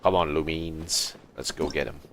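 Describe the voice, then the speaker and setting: A man from Malaysia giving low-key, muttered, casual commentary as he hosts a video game livestream. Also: steady tone, moderate pace, fairly bright tone. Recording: clearly noisy mic with clicks.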